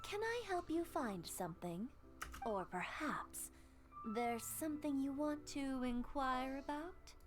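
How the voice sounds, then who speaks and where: Lilting, shrewd shopkeeper. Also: female voice, sits high, expressive, music in the background. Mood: welcoming, sly. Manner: courteous, measured, coyly mercantile. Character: polite, shrewd female grocery shopkeeper with teasing composure. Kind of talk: game character dialogue.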